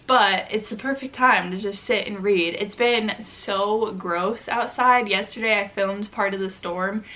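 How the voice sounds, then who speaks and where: Bright-voiced chatty young woman. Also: mid-range voice, a little slow. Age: 20s. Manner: chatty, lots of "like" fillers, gushing and critical about books. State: congested and sore-throated from allergies. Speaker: book vlogger. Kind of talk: casual chat to camera.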